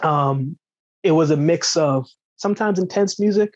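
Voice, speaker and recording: An easygoing man from Jamaica tells stories as a podcast guest, often tossing in "right?". His voice sits a little high and sounds dull and lo-fi, with a very dry, close feel. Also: moderate pace, no room sound. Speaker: podcast guest, former sprinter. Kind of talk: conversational storytelling.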